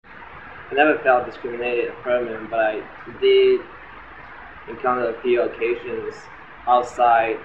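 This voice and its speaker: A man giving a halting, measured interview answer. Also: mid-range voice, level tone. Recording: phone-like sound with faint noise.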